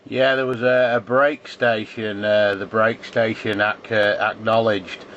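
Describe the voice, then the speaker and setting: Low-voiced man on muffled radio. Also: little pitch movement, measured pace, a bit bright. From England. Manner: spells out callsigns phonetically. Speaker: amateur radio operator. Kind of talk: casual ham radio contact chat. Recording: muffled shortwave radio contact, faint static.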